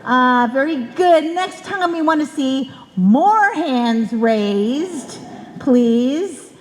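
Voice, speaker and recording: Female event announcer, higher-pitched and unhurried, welcoming an audience in a reverberant hall. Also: expressive. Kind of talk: prepared welcome speech to an audience. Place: indoor stage in a club hall.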